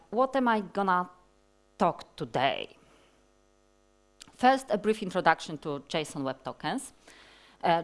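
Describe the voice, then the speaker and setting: A woman in her forties with a Polish accent, giving a technical conference talk from a large hall stage, speaking slowly and deliberately with many fillers and restarts. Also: lowish voice, clear, resonant tone.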